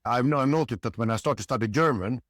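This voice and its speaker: A middle-aged man, a guest in a casual interview, talking in halting bursts with many restarts. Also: mid-range voice, a bit bright, no room sound, studio quality. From Sweden. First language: Swedish. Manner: halting, restarts often, frequent "yeah". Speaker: podcast guest.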